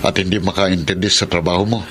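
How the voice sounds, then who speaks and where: Confidant workmate, radio drama: lower pitch, sympathetic, noisy recording. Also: male voice, fairly even intonation, fast talker, a bit bright. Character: sympathetic male office friend and confidant. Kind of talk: Filipino radio drama acting.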